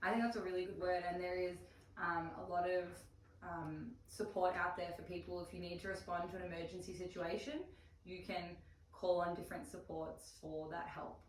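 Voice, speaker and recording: A woman's voice: fairly low pitch, flat delivery, moderate pace. A woman leading a small first aid class as trainer, speaking in an affirming, encouraging way. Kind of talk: small group class instruction. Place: indoor room, small group class. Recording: echoey room, distant mic, faint noise.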